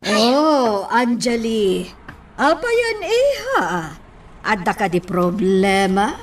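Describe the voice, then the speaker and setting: A middle-aged Filipina mother in a radio drama, concerned and questioning. She speaks slowly with lively intonation, a little away from the mic. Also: on the high side, far from the mic, exaggerated voice.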